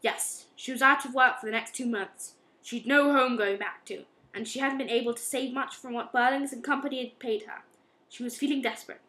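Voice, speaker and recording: Stern, deliberate inspector for amateur radio play, high register female performer. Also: steady tone, sharp tone, rough recording. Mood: grave. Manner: measured, deliberate, firm. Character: stern, insistent, morally severe police inspector.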